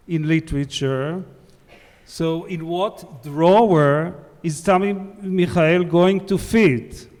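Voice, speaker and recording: Slow-spoken Israeli man in a hall. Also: a little high, a little mellow. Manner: hesitant, many fillers, pauses to find words. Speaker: interviewer. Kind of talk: conference interview. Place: lecture hall. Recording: long hall reverb.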